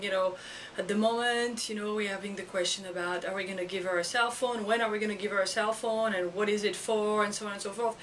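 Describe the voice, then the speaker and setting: A woman with a French accent, a school educator talking casually to her camera in a halting way, with many fillers and restarts. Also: medium pitch, fairly bright tone, recorded from a distance, slight background noise, low-quality recording. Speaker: school educator and video blogger. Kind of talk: casual vlog talk to camera. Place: outdoors or home setting in Florida. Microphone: camera mic.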